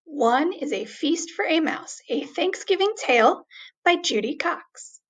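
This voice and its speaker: A woman reading a children's story aloud expressively, with deliberate, dramatic emphasis on words. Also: high voice, lively intonation.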